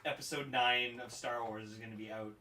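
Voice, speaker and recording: A man's voice: mid-range voice, fairly even intonation, brisk. A man in his late twenties co-hosting a podcast, chatting bluntly and swearing freely. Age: 20s. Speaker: podcast co-host. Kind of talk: casual chat. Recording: bright, roomy, off-mic with faint hiss.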